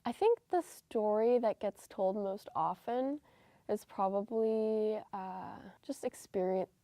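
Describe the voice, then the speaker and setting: A young woman who is a college student, answering interview questions in a soft, thoughtful, casual way. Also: medium pitch, slow pace, no room sound. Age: young adult.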